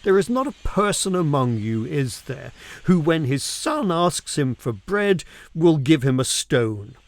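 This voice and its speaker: A man reads scripture aloud in a measured, deliberate way, using pauses and emphasis for dramatic effect. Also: fairly high pitch, big pitch swings, no echo. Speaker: reader of scripture.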